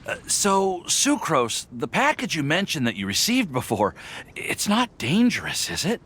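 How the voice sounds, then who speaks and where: Lively, bright-voiced young alchemist. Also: male voice, a little high, a little slow, a little hiss or hum, heavily acted. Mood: friendly. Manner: chatty, hesitant, stammering when flustered. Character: friendly young male alchemist, chatty, easily flustered. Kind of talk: video game character acting.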